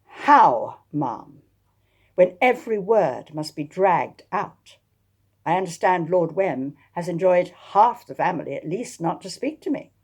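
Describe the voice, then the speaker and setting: Woman playing a proud, defiant genteel lady, husky. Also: lowish voice, lively intonation, unhurried, full character voice. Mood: exasperated, defiant. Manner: crisply formal, defiant. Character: proud, exasperated young-spirited genteel lady sleuth. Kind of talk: dramatised historical novel reading.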